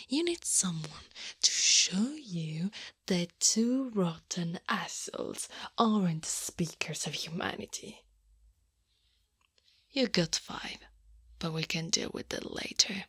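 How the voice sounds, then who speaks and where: A female holiday monster, acted by a woman, speaks slowly in a breathy near-whisper, right up close and dry on the microphone. She is knowing and firm yet tender, coaxing and soothing in an ASMR roleplay. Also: medium pitch, dry recording, exaggerated voice. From Italy. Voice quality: soft, breathy, often whispered. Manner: slow, gentle, deliberate coaxing.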